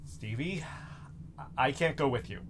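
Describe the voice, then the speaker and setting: A man's voice: mid-range voice, animated, unhurried, noisy background, natural voice. An actor playing a nervous, evasive young man who stammers and rambles hesitantly in a naturalistic audio drama. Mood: nervous, stalling. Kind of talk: naturalistic fiction podcast acting.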